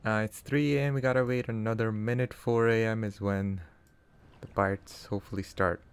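A man's voice: on the low side, fairly even intonation, normal speed, smooth. A man from Canada whose first language is not English, chatting casually with his live-stream viewers while he plays, answering chat and laughing often.

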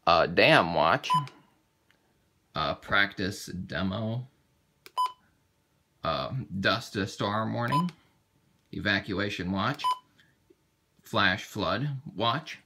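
Low-voiced man in very noisy recording. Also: animated. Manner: halting, thinking aloud. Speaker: product demonstrator. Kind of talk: casual unscripted how-to walkthrough. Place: indoors at a table. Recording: very noisy home video, clicks and beeps.

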